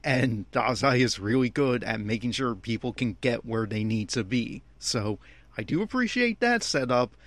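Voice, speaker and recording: A man giving opinionated, complaining episode commentary as a host, with emphatic, halting phrasing. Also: medium pitch, lively intonation, fairly bright tone, no room sound. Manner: exasperated, complaining. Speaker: fan podcast host.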